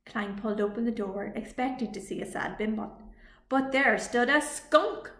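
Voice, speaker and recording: A woman reading a children's story aloud as a library storytime reader, with expressive delivery. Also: fairly high pitch. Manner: slow, expressive read-aloud. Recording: echoey room.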